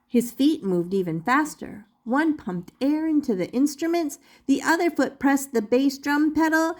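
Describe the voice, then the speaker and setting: An older woman reading a children's picture book aloud expressively, deliberately drawing out key words as a storyteller. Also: high voice, expressive, a little mellow.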